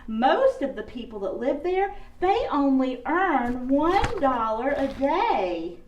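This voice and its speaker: Woman playing a puppet child, high voice, wide-eyed, excitable, distant echoey room. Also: dull audio, a little hiss or hum, heavily acted. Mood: earnest. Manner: animated, wide-eyed, slowly spoken. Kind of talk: children's puppet show skit. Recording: echoey room, far from the mic.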